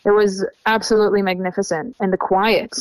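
A woman in her mid-twenties from Israel speaks with an Australian-sounding accent. Her pitch is average for a woman. She answers conversationally as a guest, in a dry recording without room echo. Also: level tone, brisk. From Tel Aviv, Israel. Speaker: interview guest, young traveler.

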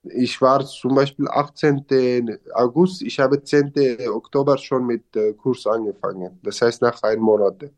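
A man's voice: medium pitch, steady tone, unhurried. A young man from Syria with a Syrian Arabic accent, speaking German as a learned language in a casual interview, with grammar slips and hesitations. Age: young adult.

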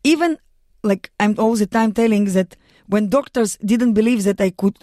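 A woman from Russia with a Russian accent, speaking earnest, halting English as a guest in a radio studio interview. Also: mid-range voice, mellow, no room sound. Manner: earnest, halting non-native English with restarts. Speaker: guest, mountaineer and lawyer.

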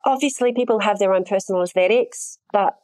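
Woman, middle-aged, intimacy coordinator, thoughtful explanations, fillers, medium pitch. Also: fairly quick, darkish tone. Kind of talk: interview answers.

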